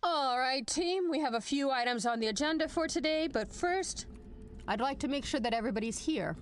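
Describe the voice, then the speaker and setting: A high-voiced, animated commanding general. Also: female voice, a little fast, smooth, faint music behind. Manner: formal, authoritative, dryly sarcastic. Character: brisk, commanding female general briefing her team. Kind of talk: audio drama acting.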